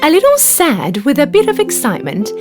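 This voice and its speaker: Female narrator for bedtime fairy tales: expressive, highly melodic, music underneath. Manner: expressive, deliberate storytelling. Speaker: storyteller, narrator. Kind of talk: scripted children's story narration.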